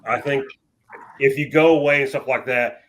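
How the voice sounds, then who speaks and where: A man's voice: mid-range voice, steady tone, moderate pace, a bit bright, a little hiss or hum. A man co-hosting a podcast, rambling and thinking aloud with plenty of fillers in casual chat. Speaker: podcast co-host.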